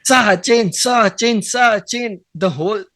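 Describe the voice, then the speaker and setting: A man's voice: high-pitched, bright, forceful tone, no room sound, clean studio sound. Man hosting, brief agreeable replies. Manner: brief, agreeable backchannels, halting phrasing. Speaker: podcast host. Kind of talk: casual interview chat.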